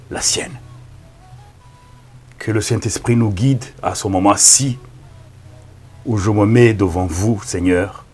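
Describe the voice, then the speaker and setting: Rwandan-born man, native Kinyarwanda speaker, middle-aged pastor, low voice, faint music behind. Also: slightly rough. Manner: slow, solemn, with frequent amens and hushed thanks. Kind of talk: prayer and sermon introduction.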